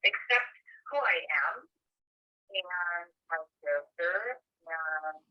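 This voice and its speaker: A deaf actress answering slowly in an interview and searching for her words. She is heard over a phone line. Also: fairly low pitch, expressive. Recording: thin phone line, distant.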